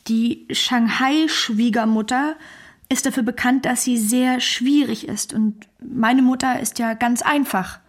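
An actress playing a candid, cheerful Chinese wife in a naturalistic radio play, talking in a matter-of-fact, conversational way. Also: female voice, a little high, measured pace. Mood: reflective, matter-of-fact.

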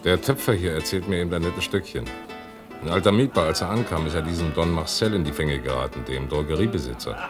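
An actor as a deep-voiced, blunt labourer. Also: male voice, fast talker, over music, natural voice. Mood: wry, curious. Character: blunt, worldly male labourer, protective comrade. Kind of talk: German radio play acting.

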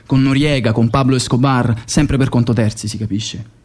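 An actor playing a cold, boastful Mossad commander in a radio drama, speaking deliberately and repeating himself for emphasis. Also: male voice, mid-range voice, steady tone, a little mellow, natural voice. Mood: cold, self-assured. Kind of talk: radio theatre monologue.

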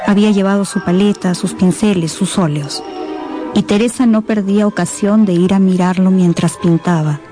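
A woman narrates a radio novel in a dramatic, scripted style. Her voice is of middling pitch. Music plays clearly underneath it. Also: moderate pace. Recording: music behind the voice.

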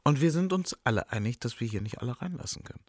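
An actor playing a cocky, indignant smuggler with a colloquial, provocative manner in a radio play. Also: male voice, mid-range voice, animated, fast, heavy background noise, natural voice. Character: cocky, indignant male smuggler, casual self-styled helper and philosopher.

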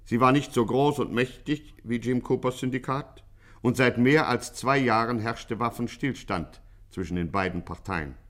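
A man playing the deadpan narrator of a 1950s German radio crime satire, measured and ironically suspenseful. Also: medium pitch. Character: deadpan, mock-dramatic crime story narrator.

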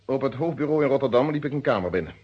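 A man's voice: medium pitch, animated, very fast, breathy edge. A man playing a methodical, unyielding police inspector in a Dutch detective radio play, speaking in formal, precise phrases. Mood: insistent. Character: methodical, unyielding police inspector questioning his own friend. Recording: dull lo-fi old radio recording.

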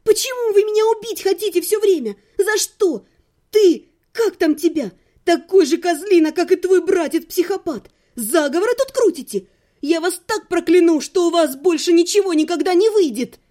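A woman narrator plays a young female magic student in a fantasy audiobook, with a very high voice. She is feisty, impassioned and dramatic, swinging between pleading and defiance. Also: expressive, moderate pace, hardly any pauses, mellow. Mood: angry, defiant.

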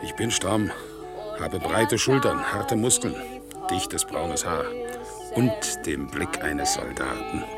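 An actor playing a proud, dutiful one-legged war veteran who works as an organ grinder, speaking in a solemn, deferential way in a radio play. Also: male voice, low-pitched, slow pace. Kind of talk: 1960s radio play acting. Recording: faint music behind, older radio play recording.

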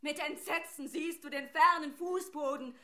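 A woman's voice: sits high, normal speed, pushed and bright. A woman in a German radio play voicing a merciless taunting figure, declamatory and reproachful. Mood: mocking doom. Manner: declamatory, addressing someone reproachfully.

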